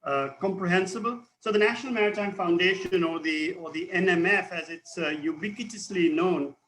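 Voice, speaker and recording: A man's voice: high voice, fairly quick, fairly bright tone, rough recording. An older man with an Indian accent, a retired naval officer speaking as a guest expert in formal, elaborate language over a remote link.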